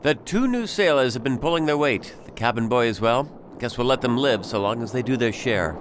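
An actor playing a vengeful, brooding pirate captain in an audio drama, measured and commanding in manner. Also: male voice, medium pitch, animated, background noise, close to an everyday voice.

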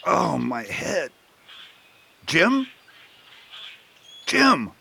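Stubborn old west marshal played by a guy, high creaky voice, noisy radio play recording. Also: very animated, extremely slow, bright, forceful tone, exaggerated voice. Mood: groggy, resolute. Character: beleaguered western lawman, stubborn marshal. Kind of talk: amateur radio western acting.

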